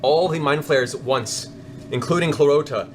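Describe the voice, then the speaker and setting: A man narrating a tabletop game as game master, in a theatrical, descriptive way with dramatic pauses. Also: a little high, slight background noise. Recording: music behind. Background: background music.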